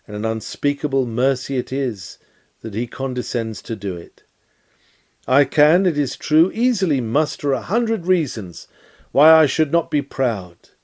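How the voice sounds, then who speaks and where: A man with a soft, mellow voice reads an old-fashioned sermon lecture from a script. His pitch moves widely as he stresses key words, and his phrasing is measured, formal and oratorical. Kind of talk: scripted reading of a 19th-century sermon lecture.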